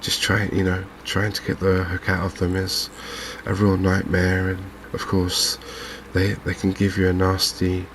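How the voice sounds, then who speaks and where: A man hosts a game in a soft ASMR style. His voice is low and very monotone, with little rise or fall. He talks haltingly and with gentle understatement, over noticeable background noise. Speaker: gaming channel host. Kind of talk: softly spoken ASMR game commentary.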